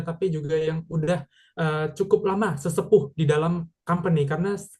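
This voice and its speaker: A man's voice: a little high, dark tone. Male moderator, upbeat and polite, hosting a video call webinar.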